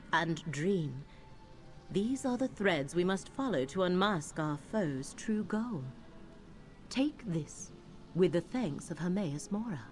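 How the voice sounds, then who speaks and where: A woman voicing a solemn, cryptic emissary in a fantasy video game, speaking in a formal, measured and deliberate way. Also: on the low side, slight background noise. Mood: intrigued, grave. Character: solemn, cryptic female emissary of a lore-keeping power.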